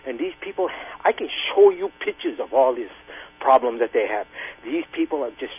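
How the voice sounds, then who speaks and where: A man's voice: medium pitch, wildly swooping pitch, a little fast, gravelly, husky. A man in his late sixties calling in to a radio show, arguing angrily and insistently, with emphatic repetition. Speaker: radio call-in caller, Vietnam-era veteran. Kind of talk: impassioned call-in argument. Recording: tinny, band-limited radio phone line.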